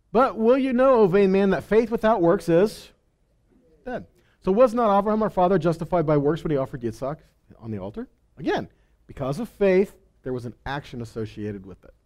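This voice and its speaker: A man's voice: high-pitched, darkish tone. A man in his thirties preaching a sermon on a small indoor stage through a headset mic. He gestures as he talks and reads scripture aloud. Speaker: pastor. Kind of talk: sermon teaching.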